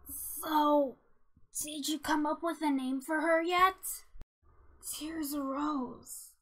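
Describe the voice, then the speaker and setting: A woman's voice: high-pitched, a bit bright, low-quality recording. A woman voicing an earnest, timid young boy angel in an emotional, hesitant way for a character voice reel. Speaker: amateur voice actor.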